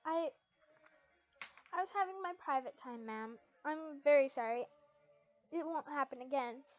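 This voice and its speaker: Woman doing high voiced hot-headed teen schoolgirl, emotional n stammery, fanfic story, lofi sound. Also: a little slow, dry recording, muffled recording, faint music behind. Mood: self-steadying. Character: hot-headed, heartbroken teenage schoolgirl bride.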